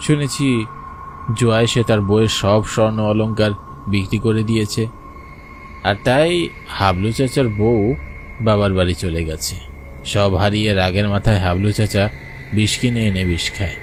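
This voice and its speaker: A man's voice: lowish voice, normal speed, pauses often, a little hiss or hum, natural voice. A man playing a frightened village fisherman, narrating a cartoon horror story in the first person with suspense and anxious urgency. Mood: uneasy storytelling.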